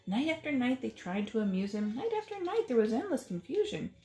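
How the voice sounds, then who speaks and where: A woman reads a rhyming children's story with sing-song drama. Her voice is fairly high and mellow, with creaky edges, in an echoey room with soft music behind. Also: very animated, fairly quick. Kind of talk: expressive picture-book read-aloud in rhyme. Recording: roomy echo, faint music.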